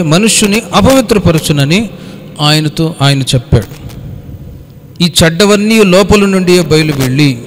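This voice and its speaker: A male pastor delivers a Bible study sermon in a fairly high voice. He teaches didactically, repeating key phrases and questioning his listeners. His words ring out with the long reverb of a hall. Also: a little hiss or hum.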